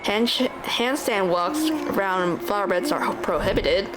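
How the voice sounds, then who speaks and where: A woman's voice: mid-range voice, lively intonation, unhurried, rough recording. A woman streamer casually commenting on a game and reading its text aloud. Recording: loud game music behind the voice.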